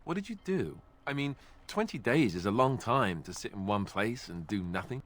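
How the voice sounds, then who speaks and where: An actor playing a chatty, curious young warrior with a wry, joking manner in a video game. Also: male voice, on the low side, very animated, normal speed, a bit bright. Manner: casual, wry. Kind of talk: video game dialogue acting.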